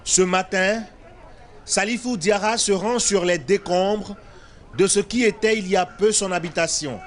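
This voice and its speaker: A man narrating a news report in a formal, measured voice. His voice sits high for a man. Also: slight background noise. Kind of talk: scripted news voice-over.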